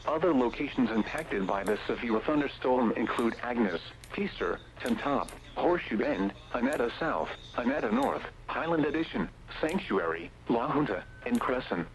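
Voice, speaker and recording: A synthetic male text-to-speech voice reading scripted emergency weather warnings in a measured, impersonal, item-by-item way over weather radio. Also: mid-range voice, very animated, vocal fry, low-quality recording. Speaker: automated weather radio alert reader.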